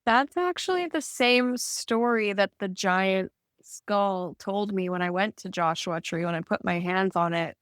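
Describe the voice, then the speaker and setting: A woman's voice: on the low side, a bit bright, smooth, dry recording, studio quality. A woman in casual conversation, speaking in a chatty, informal way with lots of "like" in her sentences. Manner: chatty, informal, frequent use of "like". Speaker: podcast co-host or guest.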